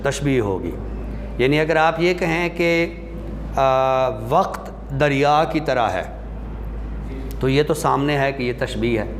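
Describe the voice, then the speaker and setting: A man giving an explanatory classroom lecture, deliberate and pausing to find his words. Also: on the high side. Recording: long hall reverb, faint background noise.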